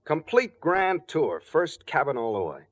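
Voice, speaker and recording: Cocky crook, dry close sound. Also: male voice, on the high side, big pitch swings, unhurried, no echo. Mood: cocky, elated. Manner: breezy, slangy, self-assured. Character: cocky carefree male crook, slangy sweetheart talk. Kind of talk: 1940s radio drama acting.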